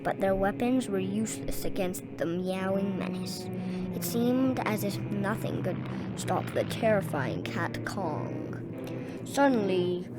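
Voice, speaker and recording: A woman's voice: mid-range voice, rough recording. A woman reading a children's story aloud with dramatic, emphatic narration. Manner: theatrical, emphatic. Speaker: story reader.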